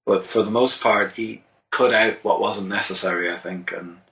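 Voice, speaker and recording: Low-voiced Irish man, halting and thoughtful. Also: steady tone, sharp tone, low-quality recording. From Ireland. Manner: thoughtful, halting, many restarts. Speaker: songwriter guest. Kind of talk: casual interview answers.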